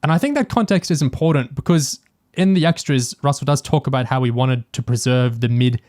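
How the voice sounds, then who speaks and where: A man's voice: mid-range voice, fairly quick, darkish tone. A man with a mid-range voice co-hosts a discussion podcast. He talks in a casual, chatty way, picking through details analytically with the keenness of a dedicated fan. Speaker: podcast co-host. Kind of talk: casual discussion of audio drama and TV.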